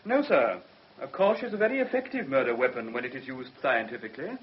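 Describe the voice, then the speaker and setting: A man's voice: medium pitch, animated, fast, a little hiss or hum, lightly acted. A man playing a firm, clinical doctor giving evidence in a radio drama, formal, precise and assured. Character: firm, clinical male doctor testifying as witness. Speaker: actor. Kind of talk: British radio drama acting. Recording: muffled vintage radio drama recording.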